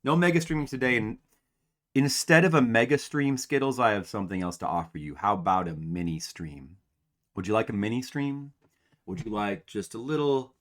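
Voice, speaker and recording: Rambling lower-voiced man in his forties. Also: a bit bright. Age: 40s. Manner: rambling, self-mocking, talks straight to viewers. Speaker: game streamer. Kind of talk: casual live-stream chat to viewers. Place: home.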